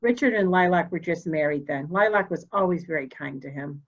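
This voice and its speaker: A woman playing a proud, formal, candid elderly mother in a murder mystery reading, speaking deliberately. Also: deep voice, highs cut off. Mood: composed, candid. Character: proud, cold old mother, candid and slightly deaf.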